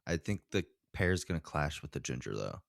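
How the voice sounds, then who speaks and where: A man's voice: extremely low pitch, sing-song, fairly quick, gravelly, no echo, clean studio sound. A man co-hosting a podcast in casual, slangy, informal chat. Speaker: podcast co-host.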